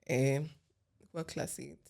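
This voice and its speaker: A woman in her late twenties with a Kenyan accent, a podcast guest chatting casually in a mix of English and Swahili, teasing and full of quick comebacks. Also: extremely low pitch, fairly even intonation, creaky, dark tone. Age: 20s.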